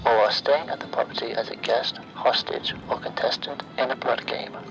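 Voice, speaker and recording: A man playing a sinister automated phone survey voice in a horror ASMR roleplay, breathy and often near-whispered, speaking in measured, formulaic announcements. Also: mid-range voice, fairly even intonation, lightly acted, rough recording. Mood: eerily polite.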